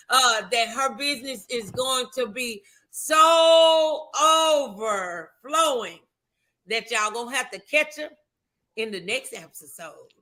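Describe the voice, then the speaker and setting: A woman's voice: sits high, sharp tone, low-quality recording. A woman hosting a motivational morning show, speaking in a fervent, affectionate, preacher-like way and moving between casual talk and prayer. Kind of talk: inspirational talk and prayer, conversational.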